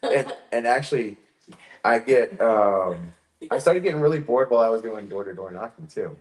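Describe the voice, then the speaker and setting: A man's voice: fairly low pitch, lively intonation, moderate pace, roomy sound, poor audio. A man who acts, chatting casually and laughing often as he tells stories. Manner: laughs often, shy-sounding storyteller. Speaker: actor. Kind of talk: casual chat.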